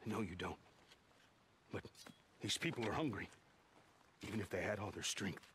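A man's voice: lowish voice, normal speed, fairly bright tone, slight background noise, natural voice. An actor playing an exasperated work-camp foreman in a video game, argumentative and emphatic. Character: exasperated work-camp foreman standing up to his boss, Alkai. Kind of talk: naturalistic game cutscene acting.

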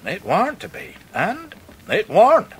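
An actor playing a jovial, warm-hearted old fisherman uncle with an English rustic dialect in a radio drama. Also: sits high, huge pitch swings, slow pace, slight background noise, exaggerated voice. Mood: tender, proud. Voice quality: effusive, hearty. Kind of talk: full-cast radio drama acting.

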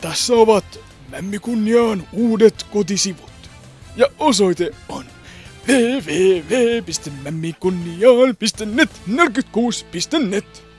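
A man's voice: sits high, animated, soft background music. A man from a fandub group giving a plain, explanatory voice-over tour of its website. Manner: plain explanatory, trailing off softly.

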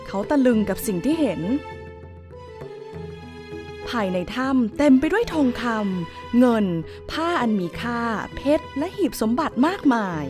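A woman's voice: on the high side, sing-song, measured pace. A woman reading a scripted fairy tale for children as a storyteller. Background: music.

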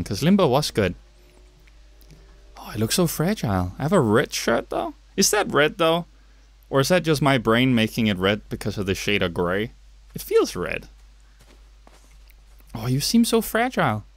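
A man's voice: mid-range voice, huge pitch swings, moderate pace, pauses often, no echo. A young man from Denmark with a Danish accent, chatting through a game with jokey, self-mocking asides at his home setup. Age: young adult. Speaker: gaming YouTuber. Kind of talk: let's play commentary. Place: home gaming setup, indoors.

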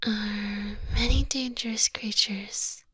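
Woman playing a slow, whispering vampire mistress, ASMR. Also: mid-range voice, fairly even intonation, fairly bright tone, dry recording, exaggerated voice. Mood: softly coaxing. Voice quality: breathy whisper. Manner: slow, deliberate, theatrical. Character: sweet, haughty female vampire castle mistress, coaxing. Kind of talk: ASMR roleplay. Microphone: close binaural microphone.

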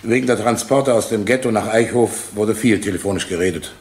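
Male actor as factual witness, ex-telephonist: fairly low voice, measured, firm, radio courtroom play. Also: natural voice. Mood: sober, firm. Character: sober, matter-of-fact male former camp telephonist testifying. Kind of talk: German radio drama acting.

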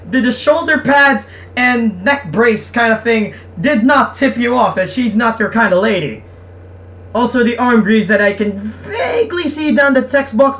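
A woman's voice: on the high side, a bit bright. A woman gamer reading a visual novel aloud, breaking off for casual, irreverent, sweary commentary. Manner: irreverent, sweary, self-interrupting. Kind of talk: casual playthrough commentary and narration. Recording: distant mic, faint background noise.

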